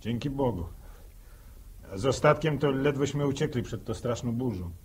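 A sociable farmer calling on neighbours in a 1960s radio drama. His voice is husky and medium in pitch, his phrasing rustic and folksy. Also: normal speed. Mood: chatty, curious. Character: sociable village farmer, a visiting neighbour, sympathetic. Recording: old 1960 radio drama recording.

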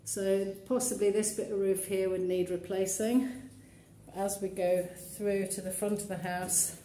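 A woman's voice: mid-range voice, flat delivery, unhurried, warm tone, slight background noise. A woman estate agent walking a camera through an empty townhouse and pointing out its features in a practical, matter-of-fact way, filmed on a handheld phone. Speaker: estate agent giving a property tour. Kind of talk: walkthrough tour commentary. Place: empty, run-down townhouse indoors. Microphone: phone camera held while walking. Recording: echoey bare rooms, faint ambience.

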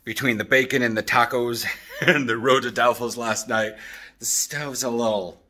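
A man in his thirties chatting casually in a vlog with an animated, jokey manner, recording on a phone at arm's length in an apartment. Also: medium pitch, moderate pace. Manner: animated, jokey, acts out quotes. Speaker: vlogger. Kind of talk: casual rambling vlog chat. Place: open-plan apartment living room and kitchen.

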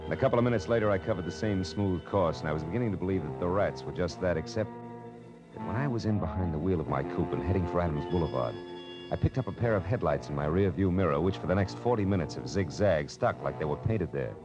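A tough, wisecracking American detective from a vintage radio noir serial. His voice sits low and he talks in a wry, clipped, hardboiled way. Prominent music plays behind him. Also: lively intonation, fast, muffled recording. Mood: hardboiled narrating. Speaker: lead actor narrating a detective drama. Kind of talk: 1940s radio noir acting, hardboiled narration.

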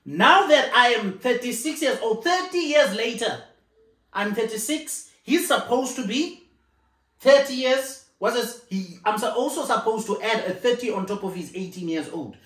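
A man's voice: very high-pitched, lively intonation, normal speed, fairly bright tone. Insistent man arguing on a couch. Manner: insistent, repeats himself, laughs a lot. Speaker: co-host of a couple's channel. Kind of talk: casual argument on a couch. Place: living room, sitting on a sofa. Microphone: far camera. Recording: echoey living room, camera mic.

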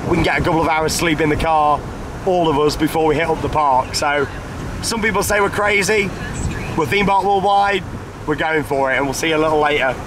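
A young man talks to the camera from the driver's seat, upbeat and chatty, his voice sitting a little high. Road and engine noise hum clearly under his words. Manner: chatty, upbeat, many fillers. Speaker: vlog host. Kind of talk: casual travel vlog narration. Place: inside a car on the road. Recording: car and road noise behind.

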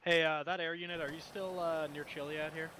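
Male player on radio comms, quick procedural calls, noisy line. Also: a little high, little pitch movement. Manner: brisk, procedural radio talk. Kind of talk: in-game radio chatter.

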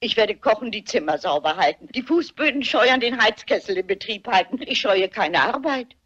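An older woman playing a shrewd, stubborn elderly amateur sleuth in a German crime radio play, husky and briskly self-assured. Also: mid-range voice, expressive, fairly bright tone, muffled recording. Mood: firm, composed.

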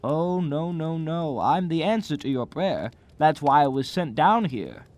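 A man playing a gentle, naive old angel in a radio drama, earnest, quaint and a little prim. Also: fairly high pitch, lively intonation, unhurried. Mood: gently insistent.

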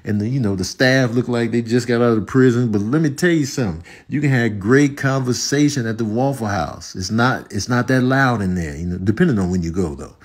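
A man's voice: medium pitch, a little fast, few pauses. A man with an American accent giving a casual comedic opinion monologue in conversational slang, repeating phrases for emphasis. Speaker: comedic video commentator.

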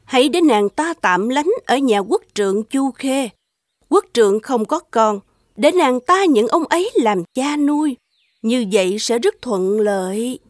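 A woman voicing a shrewd, scheming empress in an animated fairy tale, speaking in a measured, courtly way. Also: a little high, no echo, clean studio sound. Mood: persuasive. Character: shrewd, suspicious, scheming empress, soft-spoken to her emperor. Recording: music playing behind the voice.

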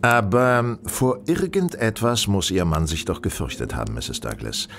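A shrewd gentleman detective probes in a fairly low voice with lively, swooping intonation. He is polite and precise but insistent, in radio drama style. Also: normal speed, a little hiss or hum. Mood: probing, dry. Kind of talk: radio play acting.

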